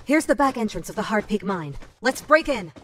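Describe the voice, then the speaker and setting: A woman's voice: medium pitch, very animated, brisk, slight background noise, lightly acted. A woman playing a proud, fierce young noblewoman warrior in a medieval fantasy game, firm and commanding. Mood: eager, stern.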